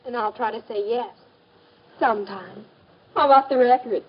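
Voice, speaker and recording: An American woman acting as a hesitant, questioning teenage high-school girl in a 1950s educational film. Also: moderate pace, slightly rough. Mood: friendly. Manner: questioning, uncertain. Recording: dull, muffled 1950s film soundtrack.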